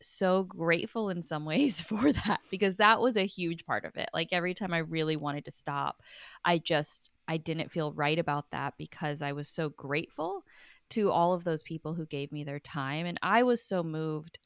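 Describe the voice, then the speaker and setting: Casting: woman interviewee, deep-toned and smooth, chatty, earnest, reflective storyteller. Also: normal speed, dry recording, clean studio sound. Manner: earnest, chatty, laughs easily. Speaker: podcast guest, writer and documentary producer.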